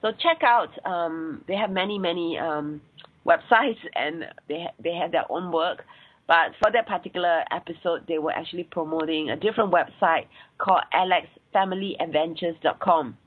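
Singaporean woman, podcast host, husky voice on a thin phone-like line, chatty and full of ums. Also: on the low side, moderate pace. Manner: chatty, many "um" and "uh" fillers. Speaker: podcast host and sex coach. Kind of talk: solo podcast episode recap.

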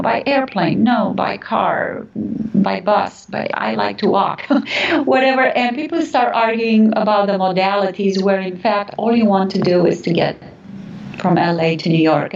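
A woman's voice: medium pitch, darkish tone. A woman from Romania giving earnest, reflective answers as an interview guest, often searching for her words, in an echoey, distant recording. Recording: echoey, distant, dull sound.

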